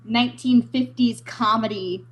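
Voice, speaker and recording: A woman chatting casually in a group, with an animated, teasing manner. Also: a little high, a little slow, crowd noise, poor audio. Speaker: voice actor in radio play readings.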